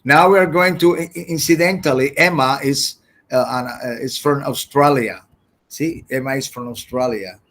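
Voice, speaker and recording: A man who speaks Spanish as his first language, teaching an English grammar class online, halting and repeating his words as he explains. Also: mid-range voice, fairly bright tone.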